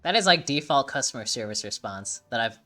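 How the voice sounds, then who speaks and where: A woman's voice: a bass voice, expressive, a little fast, pushed and bright, soft background music. A woman giving casual, sarcastic gameplay commentary with frequent swearing. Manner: swearing, sarcastic, laughing asides. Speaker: gameplay streamer.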